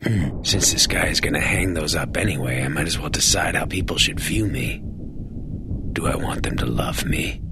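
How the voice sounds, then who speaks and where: An actor playing a brooding young antihero in a game, gruff and terse, narrating in a hard-boiled, cynical way. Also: male voice, very deep voice, animated, normal speed, background noise, exaggerated voice. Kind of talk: scripted game narration and dialogue. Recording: loud game music behind the voice.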